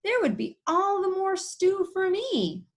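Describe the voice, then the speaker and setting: A woman voicing a greedy, scheming male wolf from a children's storybook, with a gloating, drawn-out delivery. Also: very high voice, very animated, pitched above the performer's own voice, exaggerated voice.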